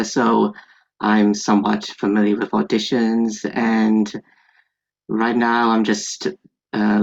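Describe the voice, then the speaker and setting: A young man, a theater student, politely and hesitantly asking a question on a dull, lo-fi online call. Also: lowish voice.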